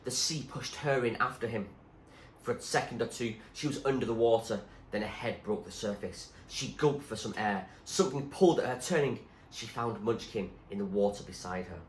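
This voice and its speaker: A man reading a story aloud in an expressive, suspenseful narrating style. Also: fairly low pitch, normal speed. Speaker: reader, teacher. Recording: echoey room with faint background noise.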